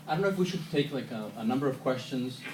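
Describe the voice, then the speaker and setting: A man's voice: medium pitch, expressive, fast talker. A man answering audience questions at a university screening, thoughtful, with many ums and restarts. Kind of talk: public Q&A answers. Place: university auditorium. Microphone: handheld camera from the audience. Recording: echoey hall, far handheld camera, noisy.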